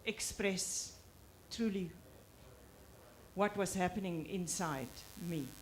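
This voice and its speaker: A woman author from South Africa, speaking English as an in a halting, reflective way with many pauses. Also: lowish voice. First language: Afrikaans. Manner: pauses often, halting with fillers. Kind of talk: reflective talk at a book presentation.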